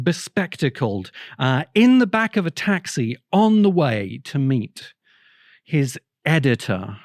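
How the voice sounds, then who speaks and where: A man hosting a podcast as a storytelling monologue, speaking deliberately with dramatic pauses and emphatic repetition. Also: a little high, a little mellow, no echo, clean studio sound. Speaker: podcast host.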